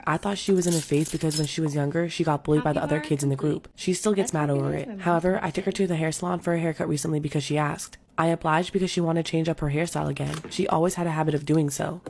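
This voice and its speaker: A narrator reading first-person storytime posts aloud in an even, steady voice. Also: mid-range voice, creaky.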